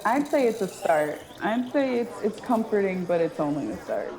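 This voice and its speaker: A woman's voice: medium pitch, sing-song, moderate pace, darkish tone, a faint crowd, low-quality recording. A woman talking casually as a panelist in a group discussion.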